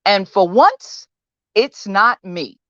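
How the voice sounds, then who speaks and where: A woman's voice: medium pitch, animated, slow pace, a bit bright, no room sound, lo-fi sound. A woman playing a desperate, depressed woman confronting her therapist in a pointed, emphatic dramatic audition monologue. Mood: fed up, resolute.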